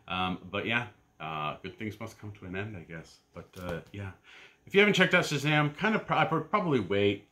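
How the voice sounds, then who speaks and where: A man in his thirties with a low voice and a bright, forceful edge talks through his weekly comic picks from a shelf-lined home room. He rambles casually, filling gaps with ums and uhs, and lets his sentences trail off into quiet asides. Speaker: comic book vlogger. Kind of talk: casual pull-list rundown.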